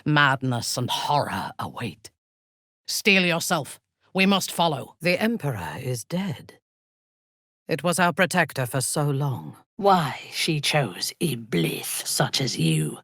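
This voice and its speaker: An actress playing an imperious, ruthless dark elf warrior priestess in a video game, with a haughty, commanding manner and formal, measured phrasing. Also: female voice, very deep voice, breathy edge, dry recording, clean studio sound, full character voice. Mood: hushed, intense. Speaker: video game companion character. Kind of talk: dramatic video game voice acting.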